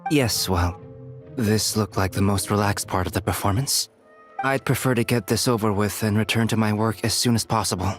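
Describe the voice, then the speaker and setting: A man's voice: on the low side, moderate pace, soft background music, close to an everyday voice. A man playing a reserved, formal, dutiful officer in an anime game, matter-of-fact and businesslike. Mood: dry, businesslike. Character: stiff, formal workaholic male officer, curt and dutiful.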